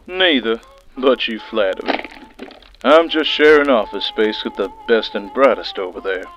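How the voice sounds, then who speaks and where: A man playing a smug, teasing treasury agent in an audio drama, with a sardonic, smooth-talking manner. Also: on the low side, normal speed, a bit bright, lightly acted. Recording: dull, band-limited, music behind.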